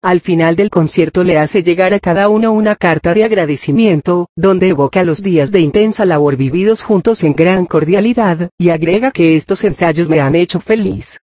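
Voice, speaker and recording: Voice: medium pitch, darkish tone, dry recording. Formal narrator reading in a dry room. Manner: formal, measured reading with citations read out. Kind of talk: scripted narration, reading an academic text aloud.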